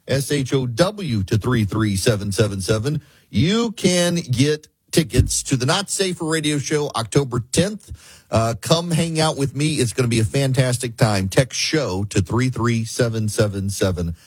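Husky-voiced southern talk radio host. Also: male voice, mid-range voice, unhurried, no room sound. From American South. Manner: sarcastic, animated, mocking. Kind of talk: opinionated political talk radio.

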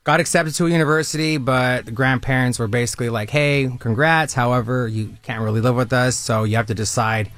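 A man's voice: mid-range voice, fairly even intonation, a little fast, hardly any pauses, fairly bright tone, dry recording. Upbeat recruiter man, dry studio sound. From Southern California. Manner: upbeat, persuasive, conversational. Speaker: military recruiter guest. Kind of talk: radio interview.

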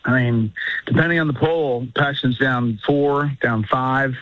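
Man, measured analyst, lots of ums, dry muffled radio sound. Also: mid-range voice, steady tone, no echo. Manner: measured, thinking aloud with many fillers. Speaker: guest news anchor. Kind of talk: political analysis on talk radio.

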